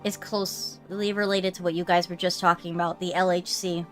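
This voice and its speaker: A woman voicing a serious, critical young female scientist from a visual novel, in a measured, formal manner. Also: on the low side, little pitch movement, fairly bright tone, faint music behind, natural voice. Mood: grave, critical.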